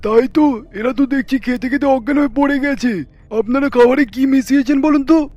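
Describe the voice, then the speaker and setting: An extremely high-pitched village man from a cartoon, agitated and suspicious. His accusing voice comes through dull, lo-fi sound. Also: fairly quick, darkish tone, no room sound. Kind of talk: cartoon character acting.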